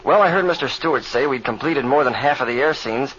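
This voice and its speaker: A man acts an earnest young pilot from an old radio adventure serial. His voice is bright and forceful, with boyish eagerness. The sound is muffled, with faint vintage hiss. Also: medium pitch, a little fast, lightly acted. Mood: earnest concern. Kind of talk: old-time radio drama acting.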